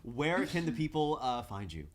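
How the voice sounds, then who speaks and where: Animated man hosting a podcast. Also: mid-range voice, moderate pace. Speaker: podcast host. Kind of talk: casual podcast chat.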